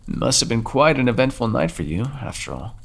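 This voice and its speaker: Cruel toying vampire as a man voices him, gravelly, lively swooping pitch, taunting, ASMR audio roleplay. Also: brisk. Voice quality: low gravelly voice, husky. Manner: taunting, mocking, calls listener dearie. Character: centuries-old male vampire, cruel and toying.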